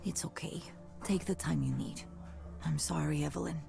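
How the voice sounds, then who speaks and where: An actress playing a candid, lively woman musician chatting with an old friend in a naturalistic visual novel style. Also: sits low, normal speed, gravelly, faint music behind. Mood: gentle concern. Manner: expressive, conversational, frank.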